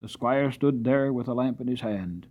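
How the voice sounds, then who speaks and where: A man giving an expressive, dramatic reading of a classic storybook as narrator. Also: medium pitch, normal speed, phone-quality sound. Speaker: audiobook reader.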